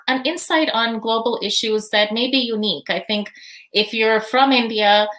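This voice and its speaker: Thoughtful woman guest, easy laughs. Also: mid-range voice. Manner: thoughtful, warm, laughs easily, frequent fillers. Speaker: podcast guest. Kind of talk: casual interview answers.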